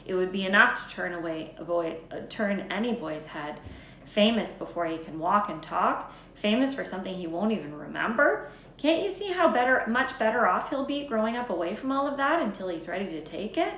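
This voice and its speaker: In a roomy, faintly noisy recording, a calm old wizard speaks as a woman reads him aloud. He is wise, modest and serious, with a measured, firm storybook delivery. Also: medium pitch, lightly acted.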